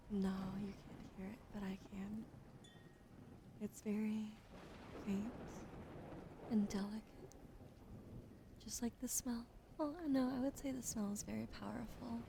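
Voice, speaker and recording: A woman streaming ASMR, whispering softly to her chat and slowly spelling out follower names, now and then swearing. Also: fairly high pitch, gravelly, background noise. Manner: slow and gentle, swears and laughs in between. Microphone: studio mic.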